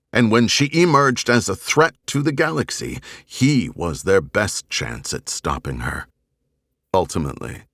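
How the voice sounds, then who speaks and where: Deep-voiced man reading with dramatic range. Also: no echo, studio quality. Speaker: audiobook narrator. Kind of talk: dramatic scripted narration of a science fiction novel.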